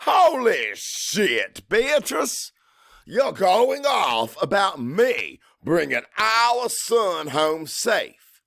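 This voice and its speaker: An actor playing a crude, hot-tempered Cajun father who narrates his own story in a gruff, expressive audiobook style. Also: mid-range voice, dry recording, studio quality, exaggerated voice. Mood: angry. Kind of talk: first-person dramatic audiobook narration.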